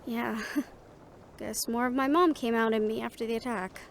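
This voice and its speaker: A woman's voice: a little high, steady tone, a little slow, creaky, slight background noise. A woman playing a guarded, stressed young woman in a fantasy audio roleplay, speaking conversationally and with some hesitation. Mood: gently teasing. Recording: music behind the voice.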